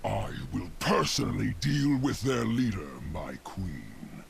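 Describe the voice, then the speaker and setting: Very gravelly demon commander. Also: male voice, fairly low pitch, sing-song, slight background noise, full character voice. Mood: obedient, grim. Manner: menacing, deliberate. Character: menacing male demon commander serving his queen. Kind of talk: video game cut-scene acting.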